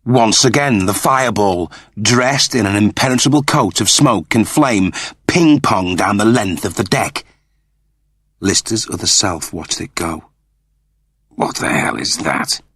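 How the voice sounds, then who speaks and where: A man reading scripted fiction as an audiobook narrator, with animated, theatrical storytelling. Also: fairly low pitch, a little slow, breathy edge, dry recording, studio quality.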